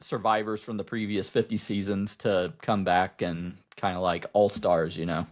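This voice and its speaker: A man with a fairly low voice talks casually as a podcast co-host. He rambles and halts as he goes, feeling his way through each thought in loose conversation. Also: level tone, normal speed. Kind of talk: casual chat about film and TV.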